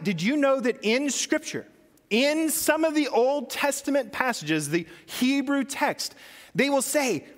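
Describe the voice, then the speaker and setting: A man's voice: sits high, long reverb tail. A man preaching a sermon as pastor, talking conversationally and putting questions to his congregation.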